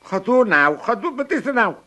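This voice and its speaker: A man's voice: extremely high pitch, big pitch swings, moderate pace, pushed and bright, full character voice. An actor playing a cantankerous, mocking old father with an Amsterdam accent in a radio comedy. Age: older. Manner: blunt, mocking, teasing.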